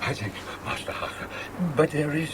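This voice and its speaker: An actor playing a gentle, mysterious old Punch and Judy showman in an audio drama, speaking in cryptic, halting, old-fashioned phrases. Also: on the high side, noisy background, lightly acted. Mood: mysterious, guarded. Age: older.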